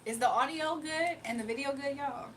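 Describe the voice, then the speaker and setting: A woman's voice: high-pitched, normal speed, a bit bright, slight background noise. A woman chatting casually on a live stream from her phone, reading viewer comments aloud and laughing often. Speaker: livestreamer.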